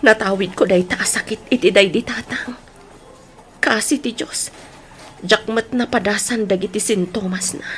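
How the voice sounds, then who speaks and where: A woman's voice: medium pitch, normal speed, fairly bright tone, a little hiss or hum, natural voice. A woman playing an anxious, protective mother in an Ilocano radio drama, speaking emotionally and pleadingly with an Ilocano accent. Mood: sorrowful. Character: anxious, protective mother pleading over her sick sons.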